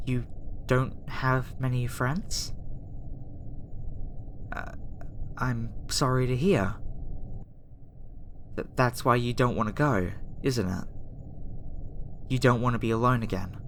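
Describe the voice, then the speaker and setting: A kind young alien woman, acted by a female performer talks softly and hesitantly to her human subject in an intimate ASMR roleplay. Her delivery is slow and tender, with a conflicted, easily flustered temper. Background noise and ambience sit behind the voice. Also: a bass voice, exaggerated voice. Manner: soft, hesitant, talking directly to the listener.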